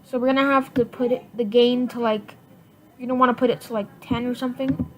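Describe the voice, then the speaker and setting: A woman giving a casual software tutorial in informal, halting step-by-step instructions. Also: a little high, fairly even intonation. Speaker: tutorial presenter. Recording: noisy home computer mic, clicks.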